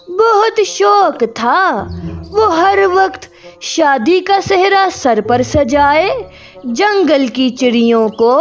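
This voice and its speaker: A woman tells a children's cartoon tale in a high voice with big swings of pitch. She goes extremely slowly and deliberately, phrase by phrase. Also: dull audio. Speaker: storyteller. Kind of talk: scripted children's cartoon narration.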